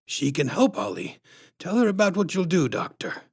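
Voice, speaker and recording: A narrator voicing a father who nervously tries to calm everyone down. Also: mid-range voice, moderate pace, no echo, studio quality, pitched above the performer's own voice, full character voice. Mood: placating. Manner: nervous, smoothing things over.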